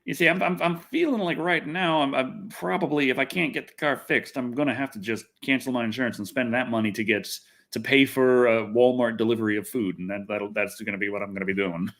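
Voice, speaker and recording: A man's voice: medium pitch, steady tone, a little fast, hardly any pauses, fairly bright tone. A man chatting casually on a livestream, rambling and griping in a wry, exasperated, sweary way. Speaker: livestream host. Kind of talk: casual rambling livestream chat, reading comments.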